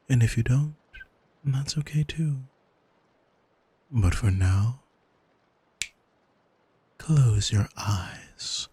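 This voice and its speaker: An arrogant demon, acted by a man, speaks low and very breathy, in an unhurried ASMR roleplay. He is smug and seductive, commanding with a soothing, hypnotic calm. The sound is close and dry. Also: dry recording, full character voice. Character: arrogant male demon, soul-owning manipulator, calm and seductive.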